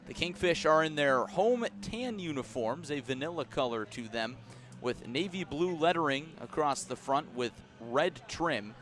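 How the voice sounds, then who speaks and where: An American man doing live radio play-by-play of a baseball game in a measured, descriptive style. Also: on the high side. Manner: measured, descriptive calls that rise to excitement on big plays. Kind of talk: live radio sports commentary.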